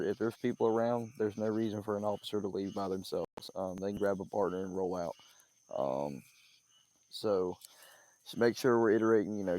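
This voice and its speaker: Male lead, low mellow voice, relaxed supervisor running a meeting, loose and filler-heavy. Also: vocal fry, low-quality recording. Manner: easygoing, many fillers. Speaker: roleplay police supervisor. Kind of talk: casual meeting briefing.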